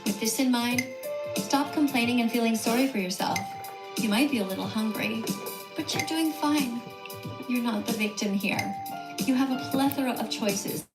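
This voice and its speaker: High preachy woman over loud music. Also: moderate pace, echoey room. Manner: firm, preachy, lecturing. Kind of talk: scripted advice narration.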